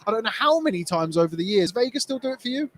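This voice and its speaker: A man co-hosting a boxing livestream, reading out viewer questions in a quick, loose way with plenty of fillers. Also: sits high, sing-song, a bit bright. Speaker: co-host of a boxing news livestream.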